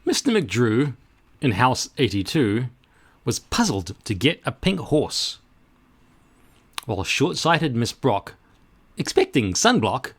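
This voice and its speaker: A man reading a rhyming children's story aloud in an expressive, rhythmic, dramatic way. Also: medium pitch, slow, long pauses, slightly rough.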